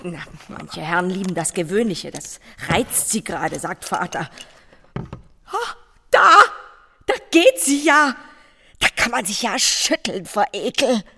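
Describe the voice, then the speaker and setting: A spiteful, petulant young woman in a radio play. Her pitch swoops around in a reverberant space. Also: animated, a little slow, vocal fry, sharp tone, breathy edge, exaggerated voice. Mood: spiteful, disgusted. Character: spiteful, whiny boss's daughter, young woman. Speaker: actress. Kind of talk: German radio drama acting.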